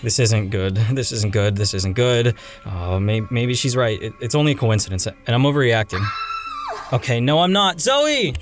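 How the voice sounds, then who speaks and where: A man's voice: medium pitch, expressive, moderate pace, music in the background, close to an everyday voice. An actor playing an anxious, guilt-ridden young man in a radio drama, hesitant and worried. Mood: panicky.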